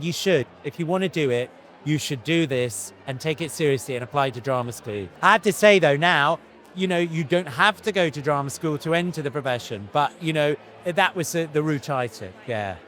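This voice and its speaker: Earnest middle-aged actor, interview. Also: male voice, on the high side, a bit bright. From Iran, raised in Britain. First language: Farsi. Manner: thoughtful, earnest, with frequent restarts and "you know". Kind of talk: interview answers.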